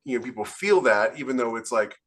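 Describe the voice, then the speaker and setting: A man's voice: medium pitch, lively intonation, brisk, a bit bright. A man co-hosting a podcast as an author, explaining his views in casual, conversational panel talk.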